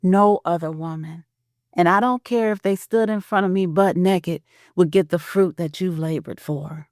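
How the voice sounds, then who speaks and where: A woman playing a devoted, romantic middle-aged husband in an audio drama, speaking softly and earnestly. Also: on the low side, normal speed, no room sound, studio quality, close to an everyday voice. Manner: soft, earnest, intimate.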